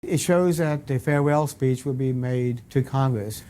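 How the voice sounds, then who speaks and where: Guy, soft dark mellow tone, explaining archive stuff in an interview, a bit halting. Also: mid-range voice, a little hiss or hum. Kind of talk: documentary interview.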